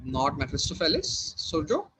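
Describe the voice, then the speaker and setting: A man's voice: fairly high pitch, wildly swooping pitch, normal speed, pushed and bright, slight background noise, rough recording. A man working as quiz master, reading formally worded questions to contestants and giving brief verdicts.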